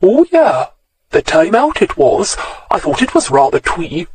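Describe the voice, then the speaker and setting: A man's voice: high voice, very animated, measured pace, a little mellow, a little hiss or hum, voice pushed up. A man voicing a laid-back hairy hippie artist with a sing-song Welsh accent, as a reader of a storybook. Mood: easygoing.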